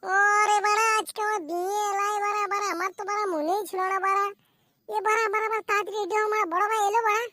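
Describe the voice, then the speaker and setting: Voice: extremely high pitch, flat delivery, fast, bright, forceful tone. A performer voicing a cheeky, whiny, foul-mouthed young bridegroom in a slapstick comedy cartoon. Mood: grumpy.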